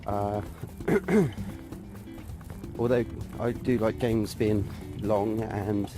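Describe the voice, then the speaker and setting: Low, flat-voiced man with a stuffy nose. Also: extremely slow, music in the background. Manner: rambling, hesitant with many fillers. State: stuffy nose and sore throat from hay fever. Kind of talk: casual chat. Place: outdoors on a residential street. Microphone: phone or camera held at arm's length.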